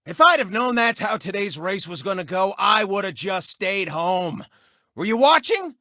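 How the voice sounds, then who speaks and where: A gloating cartoon race car who hosts a sportscast in a video game. He is a smug, theatrical showman with a bright, brassy edge, and his pitch leaps around as he announces and taunts. The sound is close and dry. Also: big pitch swings, normal speed, dry recording, full character voice. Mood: frustrated. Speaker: sports show host and racer.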